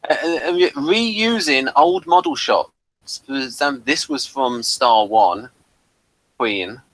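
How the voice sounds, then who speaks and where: A man chatting casually as a podcast reviewer, in a hesitant, halting way. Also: medium pitch, fairly bright tone, poor audio.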